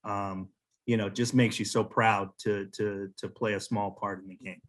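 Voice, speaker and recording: A man giving conversational advice as a panel mentor, earnest and encouraging, with deliberate phrasing. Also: lowish voice, level tone. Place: virtual panel over video call.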